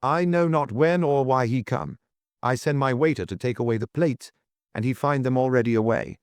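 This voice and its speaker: A man's voice: mid-range voice, moderate pace, clean tone, no room sound, voice pushed up, lightly acted. Man playing a breathless, agitated foreign hotelier. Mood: anguished. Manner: breathless, stammering, broken English.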